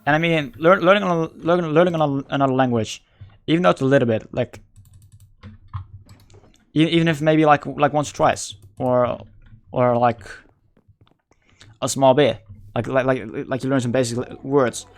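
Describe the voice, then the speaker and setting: A man whose first language is Dutch speaks English with a Dutch accent in casual livestream chat. He talks haltingly, searching for words and often restarting. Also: mid-range voice, fairly even intonation. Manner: halting, searching for words, many repeated starts.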